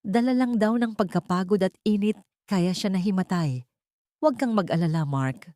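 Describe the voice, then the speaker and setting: A woman voicing a betrayed wife in an audio drama, calm on the surface and quietly bitter. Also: mid-range voice, moderate pace, no echo, close to an everyday voice.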